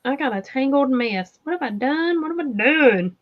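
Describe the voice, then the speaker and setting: Southern woman host, high-pitched, chatty and giggly, slightly dull lo-fi sound. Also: expressive, normal speed. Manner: chatty, laughing, reads viewer comments aloud. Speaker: crochet livestream host. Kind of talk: casual livestream chat.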